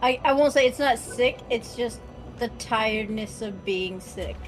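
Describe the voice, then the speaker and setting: A woman's voice: on the high side, unhurried, faint music behind. A woman chatting with friends over voice chat, telling stories and swearing casually. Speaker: friend in the game group.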